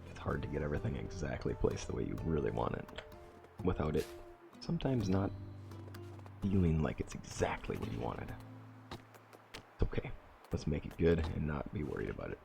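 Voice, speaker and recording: A man's voice: extremely low pitch, very animated, normal speed, gravelly, long pauses, music in the background. A man with a stuffy nose from a cold, hosting a casual game playthrough and thinking aloud as he reworks his plans. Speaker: let's play host. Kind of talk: casual gameplay commentary.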